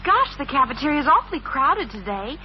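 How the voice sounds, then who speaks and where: A woman's voice: high-pitched, very animated, fairly quick. An actress playing a chirpy, gushing teenage schoolgirl in a 1950s radio sitcom. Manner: gushing, girlish. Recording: dull 1950s radio recording, faint noise.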